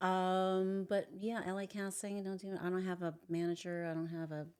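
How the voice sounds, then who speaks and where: A woman actor in casual chat, talking hesitantly and trailing off softly at the ends of her thoughts. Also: lowish voice, little pitch movement, normal speed, clear, resonant tone. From Filipino.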